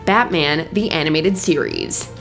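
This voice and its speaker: A woman's voice: low-pitched, very animated, a little slow. A woman reading a scripted top ten countdown as narrator, with music behind her. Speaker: narrator and host of a countdown video. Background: background music.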